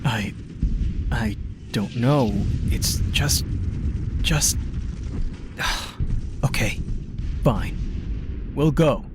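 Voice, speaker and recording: A man's voice: mid-range voice, drawn-out words, long pauses, background noise. A man voicing a young mutant turtle team leader in a comic-book audio drama, earnest and emphatic. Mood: reluctant.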